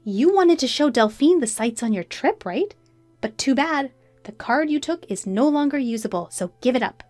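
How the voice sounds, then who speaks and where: A woman's voice: high voice, expressive, moderate pace, darkish tone, no room sound, faint music behind, pitched above the performer's own voice. A woman voicing a betrayed, defiant wife, scornful, emphatic and accusing, in a narrated story. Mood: cold, scornful. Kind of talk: acted dialogue in a narrated story.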